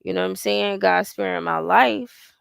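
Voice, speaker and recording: A woman in her forties hosts a loose livestream chat, talking in a blunt, profane and wandering way. Her voice has a gravelly, crackly edge. The sound is close and dry, with no room echo. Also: mid-range voice, moderate pace. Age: 40s. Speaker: livestream host. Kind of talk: casual livestream chat, reading viewer comments.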